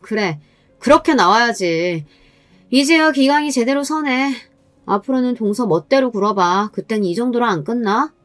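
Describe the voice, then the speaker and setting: A woman's voice: a little high, animated, moderate pace, no room sound, soft background music, voice pushed up, natural voice. A woman voicing a spiteful, domineering sister-in-law in a scolding, sneering, condescending way for a dramatized story. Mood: smug.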